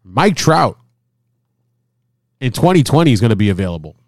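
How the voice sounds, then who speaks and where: A man in his forties hosting a casual baseball chat, excitable and often repeating himself. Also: mid-range voice, moderate pace, no room sound, clean studio sound. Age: 40s. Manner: excitable, repeats himself, stumbles over words. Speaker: host. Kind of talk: casual sports talk.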